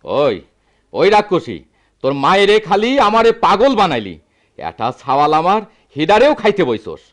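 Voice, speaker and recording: Poor village father: high-pitched, very animated, rustic Bangladeshi accent, bitter yet tender radio drama delivery. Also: normal speed. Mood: angry despair. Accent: rural Bangladeshi. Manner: emotional, lamenting rustic speech.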